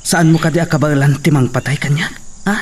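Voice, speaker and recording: Intense, distant Ilocano drama schemer played by an actor. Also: male voice, a little high, quick pace, close to an everyday voice. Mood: defiant. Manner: intense, theatrical. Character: scheming, guilt-ridden man daring a woman to shoot. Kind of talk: Ilocano radio drama acting. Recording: slightly distant mic, faint insect noise.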